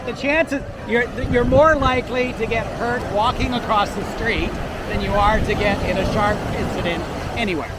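A man's voice: high-pitched, steady tone, normal speed. A man talking casually in an upbeat, reassuring way as a volunteer lifeguard being interviewed. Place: outdoors on an open sandy beach. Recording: very noisy windy open beach.

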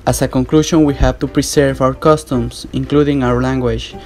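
A man's voice: medium pitch, fairly even intonation, moderate pace. Earnest male voice-over over guitar. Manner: earnest, with non-native phrasing. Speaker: narrator and video maker. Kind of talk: scripted voice-over. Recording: voice-over with soft guitar music.

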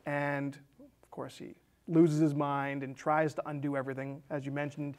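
Higher-voiced man chatting about film. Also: little pitch movement, moderate pace, a little mellow. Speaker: film discussion show co-host. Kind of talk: casual movie discussion, retelling a plot. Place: small indoor studio set with curtains.

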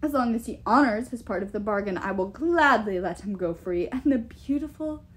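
A woman's voice: a little high, animated, moderate pace, close to an everyday voice. A woman voicing a huge, boastful and menacing male giant king in an audiobook, with emphatic, pompous delivery. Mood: boastful, insistent. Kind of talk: audiobook character voice.

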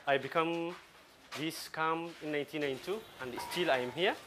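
A man in his twenties from Somalia, a gym owner talking in a documentary interview, speaking halting with many restarts, in a small metal-walled gym. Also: a little high, a bit bright, slight background noise. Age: 20s. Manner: halting non-native English with restarts.

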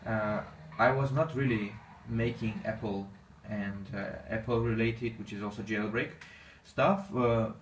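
A man whose first language is Armenian, giving casual commentary on his gameplay in halting English with many fillers. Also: on the low side, steady tone. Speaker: gaming channel host. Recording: echoey room, distant mic, faint noise.

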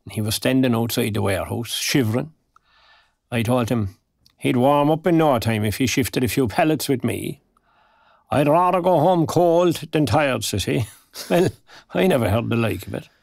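A man's voice: medium pitch, moderate pace, creaky, darkish tone, no echo, clean studio sound. An actor playing a proper, old-fashioned retired Irish working man, older and plain-spoken, in an audio drama. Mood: plain, reminiscing. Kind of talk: naturalistic audio drama dialogue.

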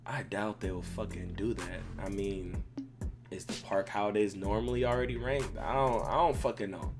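A man's voice: lowish voice, normal speed. A man giving casual, slangy, profane commentary as a gaming creator, with emphatic rants. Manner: slangy, swearing constantly, emphatic ranting. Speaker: gaming video creator and streamer. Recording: music playing behind voice. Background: music.

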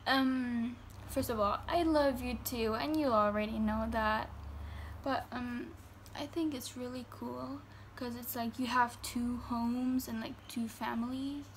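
A young woman in her teens, a vlogger from Germany, answering fan questions in casual chat in her bedroom, full of fillers and reading each question out slowly. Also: on the high side, steady tone, slight background noise. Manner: chatty, filler-heavy, reads questions slowly. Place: bedroom indoors. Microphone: phone camera at arm's length.

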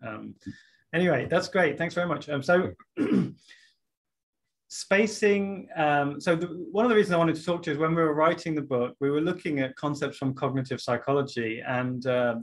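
A man in his thirties with an ordinary mid-range voice hosts an interview over a video call. He talks casually and thinks aloud, restarting his sentences as he frames each question. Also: medium pitch. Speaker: interviewer host. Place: room lined with bookshelves.

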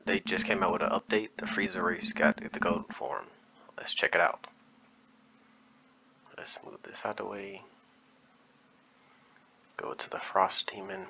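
Male gamer host, very bright edgy low voice, murmured casual commentary, muffled mic. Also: sing-song, a little slow, vocal fry, pauses often. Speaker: gaming video host. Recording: muffled band-limited mic, faint noise.

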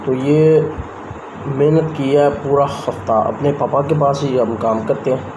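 A man's voice: medium pitch, unhurried. Young man rambling into a phone. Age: young adult. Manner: rambling, conversational, talks straight to the camera. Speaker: vlogger. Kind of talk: casual vlog chat. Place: rooftop outdoors, then a small room indoors. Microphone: handheld phone camera at arm's length. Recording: noisy phone recording with outdoor ambience.

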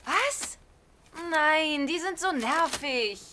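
An actress playing a sharp, exasperated wife in a German sitcom dub, dry and bossy, over loud noisy TV audio. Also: female voice, high voice, animated, extremely slow, heavily acted. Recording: loud noisy dubbed TV audio with laugh track.

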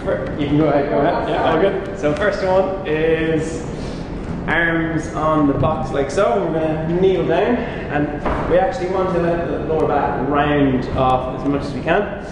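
A young man, a physiotherapist, giving an informal, halting exercise demonstration while explaining each movement. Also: on the high side. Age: young adult. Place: large indoor gym studio with wooden floor. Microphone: distant camera microphone. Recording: very echoey, noisy hall, far from mic.

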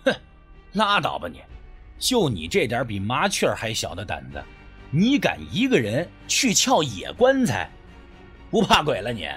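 A man's voice: medium pitch, huge pitch swings, unhurried, a bit bright, faint music behind, pitched below the performer's own voice. A man voicing a sceptical, impatient young man with a blunt, sarcastic manner in an audiobook story. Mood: mocking.

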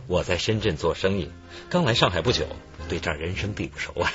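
A man's voice: sits low, animated, fast. An actor playing an ingratiating, falsely genial businessman conman in a radio drama. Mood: genial, suave. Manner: chuckling, ingratiating. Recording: muffled, music behind.

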